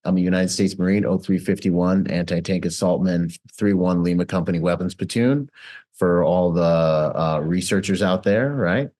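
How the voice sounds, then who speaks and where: Man, late 30s, very low voice, energetic, conversational presenter, online call. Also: moderate pace. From Bahamas, grew up in Washington State. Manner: energetic, conversational, self-promoting. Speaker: host and presenter.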